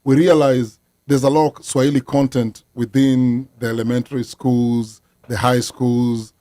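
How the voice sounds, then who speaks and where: A man's voice: mid-range voice, level tone, unhurried, mellow, no room sound. A man, a musician guest talking earnestly in a casual interview. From Kenya. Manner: earnest, explains at length with frequent fillers.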